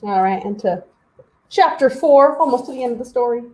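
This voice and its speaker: Mellow, echoey woman reading a children's story. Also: fairly high pitch, a little fast. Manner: animated, talks directly to young children. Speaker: children's story hour host. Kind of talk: expressive storybook read-aloud with chatty asides.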